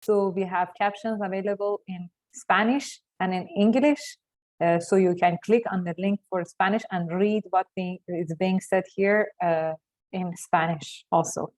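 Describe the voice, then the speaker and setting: A woman moderating an online workshop, friendly and welcoming, with many filled pauses. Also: medium pitch, fairly even intonation, a little mellow.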